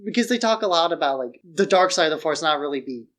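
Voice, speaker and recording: A man's voice: a little high, expressive, fast, fairly bright tone. A man co-hosting a podcast, giving opinionated, analytical takes in casual film discussion. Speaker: podcast co-host.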